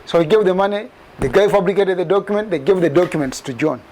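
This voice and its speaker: A man in his forties gives a spoken testimony. His voice sits fairly high, and he leans on key details by repeating them and lifting his pitch. A faint background hum runs under his speech. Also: quick pace, a little mellow, rough recording. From Uganda. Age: 40s. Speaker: immigrant telling a story. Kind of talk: personal testimony.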